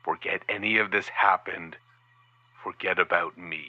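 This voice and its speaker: An actor playing a gruff, guarded middle-aged private investigator who warns a caller off curtly over the phone. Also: male voice, deep voice, steady tone, moderate pace, bright, forceful tone, dry recording, muffled recording. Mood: stern. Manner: curt, warning, guarded.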